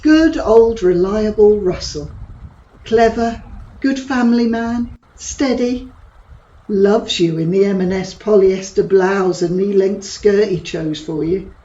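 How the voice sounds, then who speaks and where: A woman's voice: mid-range voice, slow, dark tone, dull audio, lightly acted. A woman playing a teasing, blunt friend. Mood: scornful. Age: middle-aged. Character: cheeky, provocative woman friend Gabby, middle-aged. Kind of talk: audio drama acting.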